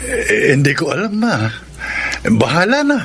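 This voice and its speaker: A man's voice: a little high, wildly swooping pitch, measured pace, noisy background, exaggerated voice. A man acting a lovestruck adult son in a Filipino radio drama, with a Filipino accent. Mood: hesitant. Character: lovestruck, hesitant adult son, long single.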